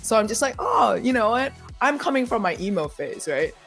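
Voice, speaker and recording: A young-adult multimedia journalist answering panel questions quickly and animatedly, full of "like" and "you know", over a video call. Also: medium pitch, faint music behind. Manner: fast, animated, many fillers like "like" and "you know".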